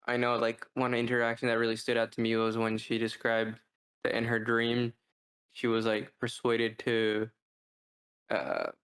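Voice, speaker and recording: A man's voice: mid-range voice, flat delivery, a little slow, a bit bright. Male podcast co-host, narrow melodic range, casual and discursive, reasoning things out as he goes. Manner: thinking aloud, peppered with "like" and "right?". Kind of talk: casual book review discussion.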